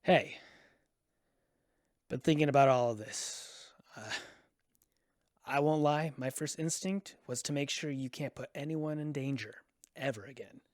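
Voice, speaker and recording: An actress playing a stern, principled farmer in a radio drama, with an intense, accusing manner. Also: female voice, a bass voice, a little slow, pauses often, darkish tone, dry recording, studio quality. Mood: hesitant. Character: stern, principled, wounded young farm owner.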